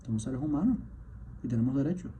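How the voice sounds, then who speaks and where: A man, a physician, delivering an impassioned spoken message, indignant and firing off rhetorical questions, at times surging louder. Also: mid-range voice, expressive, fast. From Cuba. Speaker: physician, rheumatologist. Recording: dull lo-fi sound with faint background noise.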